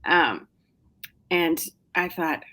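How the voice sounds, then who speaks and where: A middle-aged woman speaks with a low, easy voice as she answers an interviewer's questions about her writing. She talks casually and chattily, with frequent ums and restarts. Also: very slow, sharp tone. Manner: chatty, many fillers and restarts. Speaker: novelist guest.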